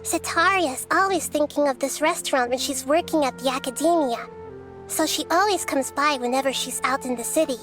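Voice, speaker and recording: A woman voicing a gentle young girl goddess. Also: sits high, fairly quick, music in the background, lightly acted. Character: gentle wise young girl guardian deity. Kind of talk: video game voice acting.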